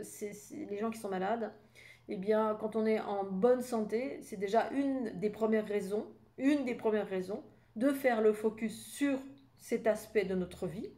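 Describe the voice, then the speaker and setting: Woman in her fifties, from France, casual spiritual talk, chatty and rambling, mellow tone, mid pitch. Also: expressive, slow pace. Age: 50s. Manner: chatty, rambling, with frequent fillers and asides. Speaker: spiritual coach. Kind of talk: casual spoken monologue.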